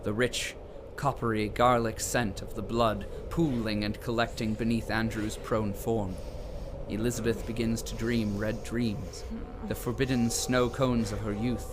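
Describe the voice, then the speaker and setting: A man narrating an audio drama as a deliberate storyteller who relishes lurid detail. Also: fairly low pitch, little pitch movement, noisy background, close to an everyday voice. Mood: ominous relish.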